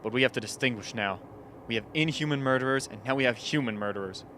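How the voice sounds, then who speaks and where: Shrewd, wry investigator type, played by a man, mid-pitched crisp forceful voice, radio thriller, light noise. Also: lightly acted. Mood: dry, reasoning. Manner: deliberate, wry reasoning. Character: shrewd, dry-witted man of an isolated camp. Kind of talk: radio drama acting.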